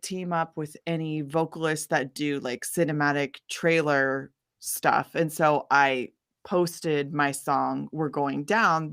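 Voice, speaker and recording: A woman guest, a singer-songwriter, chatting casually in an interview and acting out quotes in an animated, informal way. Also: low voice.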